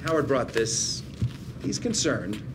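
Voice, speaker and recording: Proper, animated older man, lawyer. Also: medium pitch, slow, background noise. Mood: placating. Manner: earnest, precise, placating, hesitant. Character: proud, proper, reclusive older brother, a senior lawyer. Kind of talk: script table read.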